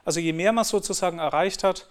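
A man's voice: sits high, quick pace. A man giving a talk on teaching, explaining in an earnest way and often restarting his sentences. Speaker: lecturer.

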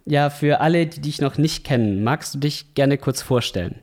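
Male host, scripted intro and questions; mellow, even delivery. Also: fairly high pitch, moderate pace. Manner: reads a prepared intro, steady and clear. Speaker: podcast host.

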